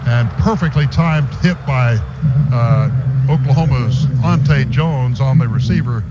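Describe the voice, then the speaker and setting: A man's voice: medium pitch, unhurried. Male play-by-play announcer over heavy noise. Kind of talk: live sports commentary with sponsor reads. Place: stadium radio booth. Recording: noisy dull radio broadcast from stadium.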